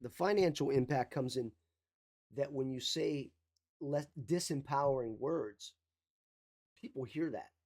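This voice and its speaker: A mindset coach giving an emphatic motivational talk, repeating key phrases, with a slight cough. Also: male voice, mid-range voice, a little slow, long pauses, a little mellow.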